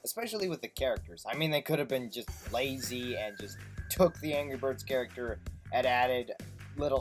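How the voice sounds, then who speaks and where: A man hosting a scripted comedic review countdown, sarcastic and self-deprecating in his delivery. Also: mid-range voice. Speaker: host of a video review countdown. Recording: amateur recording with light background music.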